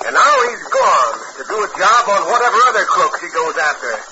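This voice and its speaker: A man acting a folksy, excitable cowboy in a 1950s radio western. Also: sits high, brisk, exaggerated voice. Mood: satisfied. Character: western rustlers and cattlemen, gruff and excitable. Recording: muffled, noisy old radio recording.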